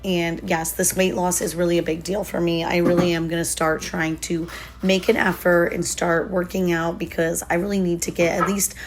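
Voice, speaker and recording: Hoarse, chatty woman in her thirties. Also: lowish voice, little pitch movement, moderate pace, noisy background. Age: 30s. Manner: chatty and upbeat, with fillers. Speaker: lifestyle vlogger. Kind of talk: casual voiceover narration.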